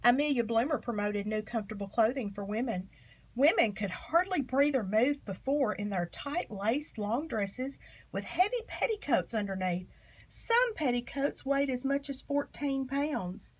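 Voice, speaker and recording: A woman reading a children's picture book aloud with expressive, emphatic phrasing. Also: a little high, unhurried, slightly rough. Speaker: storybook reader.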